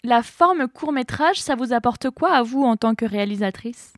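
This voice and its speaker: A woman host asking short, measured interview questions. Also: fairly high pitch, lively intonation. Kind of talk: radio-style interview questions.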